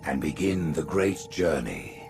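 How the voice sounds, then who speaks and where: A man's voice: a bass voice, lively intonation, unhurried, over loud music, full character voice. A man playing a scheming old alien hierarch, haughty and theatrical, in a cinematic game. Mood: solemn, grand. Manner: theatrical, haughty, breaking into whispers. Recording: clear ambient effects behind.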